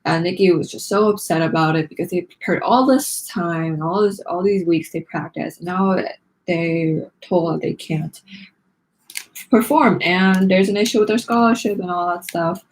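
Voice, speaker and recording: A woman's voice: on the low side, fairly even intonation, moderate pace, low-quality recording. A woman hosting a read-along, narrating a children's book expressively.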